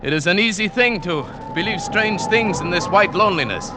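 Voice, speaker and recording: An actor playing a grave, superstitious mountain guide in an old radio drama, speaking formally with music playing behind him. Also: male voice, sits high, animated, a little fast, background noise. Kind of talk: 1940s radio acting.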